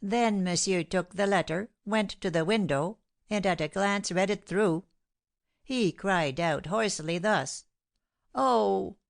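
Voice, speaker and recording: A woman doing a sly gossiping manservant. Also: fairly low pitch. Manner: dramatic, drawn-out storytelling. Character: boastful, sly male servant, gossiping.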